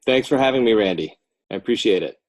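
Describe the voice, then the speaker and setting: A low-pitched man with an American accent talks as a guest in a casual interview. He rambles a little, backs up to correct himself and pieces his answers together in a halting way. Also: animated. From Northern New Jersey, United States. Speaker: podcast guest, children's book author. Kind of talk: casual podcast interview chat.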